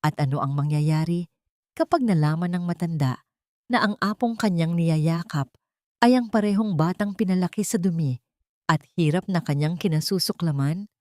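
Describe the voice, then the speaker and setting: A woman's voice: lowish voice, moderate pace, a little mellow, no room sound, studio quality. A woman narrator reading a scripted dramatic audio story in a solemn, emotive way.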